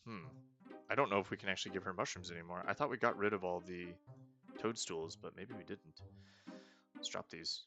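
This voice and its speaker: A man's voice: low voice, fairly quick, long pauses, music in the background. A man casually commenting while he plays a video game, thinking aloud and hedging often. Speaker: let's play host.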